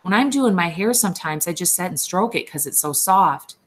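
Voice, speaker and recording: A woman's voice: fairly low pitch, lively intonation, moderate pace, lightly acted. A woman doing a vain, teasing young ranch wife.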